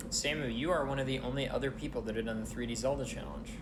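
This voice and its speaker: A man's voice: mid-range voice, fast, noisy background. A man hosting a speedrunning stream, chatting casually with a guest and answering questions from chat. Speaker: show host.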